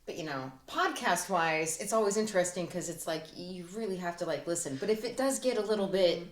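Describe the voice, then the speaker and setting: A woman chatting casually as a podcast guest, informal and halting in her delivery. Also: lowish voice, animated. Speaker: guest actor on a radio play podcast. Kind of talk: casual chat. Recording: roomy, echoey indoor sound.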